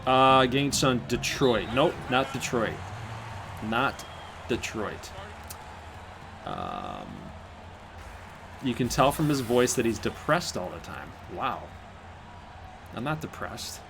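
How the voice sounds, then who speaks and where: A man from Michigan with a Michigan accent and a somewhat nasal voice, hosting a live stream of a simulated basketball game. He chats with viewers and jokes around, and gets excited at big plays. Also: medium pitch, slow, gravelly, long pauses, background noise. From Michigan, USA. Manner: chatty and joking, talks to the live chat, bursts of excitement at big plays. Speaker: stream host and game commentator.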